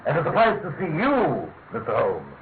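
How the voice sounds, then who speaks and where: A man's voice: a little high, wildly swooping pitch, moderate pace. A man playing a grave, emphatic English elder statesman in a radio drama, formal and insistent. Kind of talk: classic radio drama acting. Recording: thin phone-like radio sound, clear noise.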